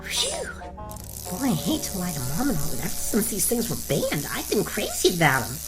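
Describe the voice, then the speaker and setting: A woman's voice: on the low side, wildly swooping pitch, normal speed, music in the background, poor audio. A woman playing a spirited, whiny young princess in a comic audio fairy tale, delivered with petulant melodrama. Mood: flustered, secretive.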